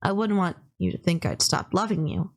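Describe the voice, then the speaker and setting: A woman's voice: lowish voice, lively intonation, moderate pace, close to an everyday voice. A woman playing a polite, gushing young female kidnapper in an intimate anime-style roleplay, earnest, apologetic and rambling, obsessively in love. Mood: earnest, fussing.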